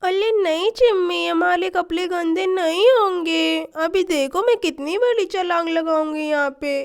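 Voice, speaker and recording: A very high, babyish little girl played by a woman from a children's cartoon story. She is mischievous, whines and pleads, and has a slight lisp. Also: measured pace. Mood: boastful. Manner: whiny, pleading. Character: mischievous little schoolgirl, apologetic and whiny.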